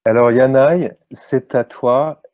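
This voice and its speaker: A man hosting an online call, introducing the speaker haltingly and switching between languages. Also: medium pitch, steady tone, no echo. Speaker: host. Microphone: video call. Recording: dry, phone-like line.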